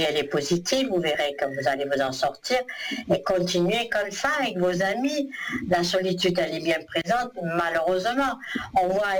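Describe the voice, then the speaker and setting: A woman's voice: lowish voice, low-quality recording. A woman gives a psychic reading in a phone-in consultation. She meanders and hesitates, filling gaps with ums. A faint hiss sits under her voice. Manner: hesitant, meandering, many fillers. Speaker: psychic reader.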